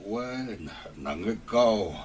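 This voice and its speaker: Against clear noise, a man plays an ailing elderly father in a radio drama. He speaks slowly in a weak, strained voice, like someone in pain. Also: fairly low pitch, lively intonation. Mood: pained, weary. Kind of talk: Ilocano radio drama acting.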